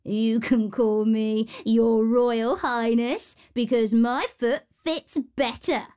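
A woman's voice: fairly high pitch, steady tone, slow pace, darkish tone, clean tone. A woman voicing a horrid, spoiled young stepsister in a storybook tale, with a haughty, boastful manner.

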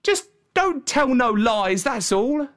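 A man's voice: very high-pitched, big pitch swings, slow pace, a bit bright, voice pushed up, heavily acted. A man voicing a plucky, indignant young shepherd boy with rustic, ungrammatical speech in a storybook tale. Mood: defiant.

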